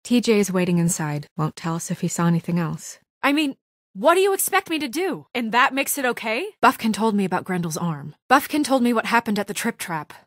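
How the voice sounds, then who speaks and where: A woman playing a composed, determined, guarded young fairy-tale heroine in a video game, speaking in an earnest, firm, measured way. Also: mid-range voice, lively intonation, dry recording, studio quality, lightly acted. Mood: frustrated, accusing. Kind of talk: naturalistic video game voice acting.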